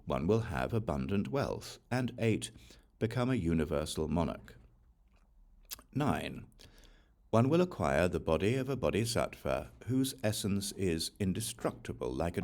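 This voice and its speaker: A man with a low voice narrates a scripture audiobook. His delivery is slow and deliberate, with clear pauses, yet his pitch moves up and down with lively inflection. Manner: deliberate, enumerating each point with pauses. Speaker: audiobook reader. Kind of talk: slow, measured reading of scripture.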